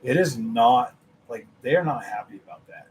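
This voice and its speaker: A young man hosting a gaming channel, chatting casually with his viewers, animated and laughing, his voice a little worn from nearly losing it. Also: normal speed, slight background noise, rough recording. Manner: animated, laughing, mimics others in a high voice. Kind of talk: casual livestream chat with viewers.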